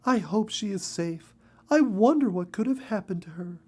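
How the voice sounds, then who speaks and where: A motherly lady bluebird from a children's story, acted out by a man. The voice is shifted well up in pitch, soft and sweet, with a tender, fussy manner. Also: animated, normal speed. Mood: worried.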